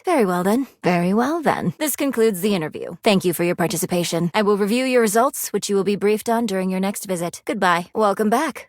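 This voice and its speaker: A woman's voice: mid-range voice, dry recording, studio quality, close to an everyday voice. A woman voicing a prim, anxious, money-minded video game character who speaks in formal, precise, businesslike phrases. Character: prim, anxious, money-minded female personified savings living in a safe.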